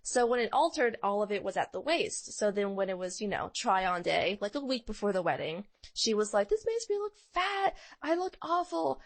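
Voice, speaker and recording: A woman's voice: mid-range voice, very animated, moderate pace, few pauses. Woman podcaster just chatting, telling stories real informal, acts out the quotes all dramatic. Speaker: podcast co-host. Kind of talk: casual chatty podcast banter and storytelling.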